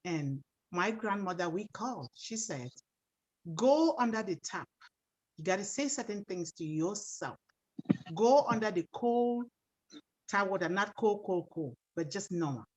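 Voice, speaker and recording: A woman's voice: medium pitch, animated, unhurried, a little mellow, rough recording. Earnest woman from Cameroon on a video call. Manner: earnest, grateful, storytelling. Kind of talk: caller sharing a story on a video call.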